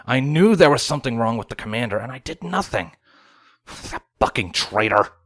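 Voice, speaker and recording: A man's voice: mid-range voice, animated, moderate pace, fairly bright tone, dry recording, clean studio sound. A man voicing a bitter, self-blaming male pony guard in a fantasy story reading. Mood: bitter regret.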